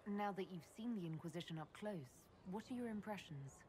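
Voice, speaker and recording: A woman's voice: mid-range voice, animated, fairly quick, a little mellow, a little hiss or hum, lightly acted. An actress playing a determined female army leader in a fantasy video game, speaking directly and in a businesslike way. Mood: composed. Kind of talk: video game dialogue acting.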